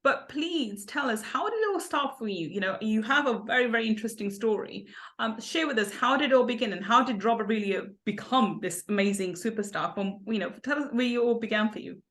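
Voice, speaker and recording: Bright, effusive woman podcast host. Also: a little high, animated, brisk. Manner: effusive, upbeat, laughs often. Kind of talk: enthusiastic interview hosting.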